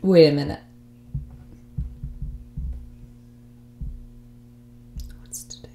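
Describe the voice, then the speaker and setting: A woman playing a mean, snobby rich young woman in a whispered and soft-spoken ASMR roleplay, talking in a breathy, condescending, bratty way. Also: faint music behind, close to an everyday voice, low-quality recording. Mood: bitter, dismissive. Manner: condescending, bratty, dismissive, drawn-out casual phrasing. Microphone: close ASMR microphone.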